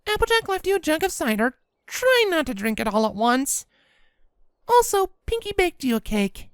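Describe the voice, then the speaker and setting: A woman's voice: high voice, sing-song, normal speed, dry recording, studio quality. A woman voicing a friendly, orderly female pony reading out a letter to a friend in a chatty, helpful way.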